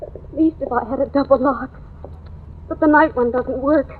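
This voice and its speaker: A woman's voice: high voice, moderate pace, lightly acted. An actress playing a jittery, suspicious married woman in an old-time radio thriller, nervous and hesitant in her speech. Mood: nervous, wary. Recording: dull lo-fi old radio recording.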